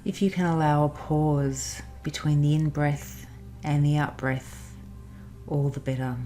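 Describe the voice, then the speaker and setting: A woman narrating a guided meditation in a soothing, instructional manner with pauses. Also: low-pitched, fairly even intonation. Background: soft background music.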